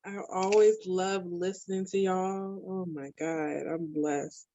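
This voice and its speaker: Woman, mid pitch, unhurried, drawn-out words, casual, dull phone recording. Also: steady tone, mellow, slight background noise. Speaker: podcast guest. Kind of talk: casual chat. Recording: slightly muffled phone call.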